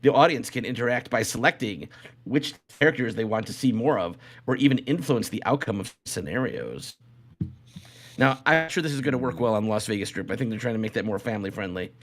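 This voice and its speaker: A man hosting a casual, comedic podcast, talking in a halting way with repeated and restarted phrases. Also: mid-range voice, animated. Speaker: show host.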